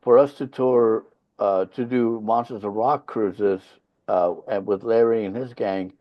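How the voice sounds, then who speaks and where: A man's voice: on the low side, fairly even intonation, a little slow, muffled recording. A man from Finland whose first language is Swedish, a rock band leader chatting as a podcast guest, blunt and profane, hammering home his points. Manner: blunt, profane, emphatic storytelling. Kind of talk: casual interview chat.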